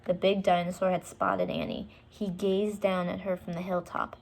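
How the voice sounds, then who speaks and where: A woman's voice: fairly low pitch, little pitch movement, normal speed, a little mellow. A woman storyteller narrating a children's book, with short explanatory asides for young listeners.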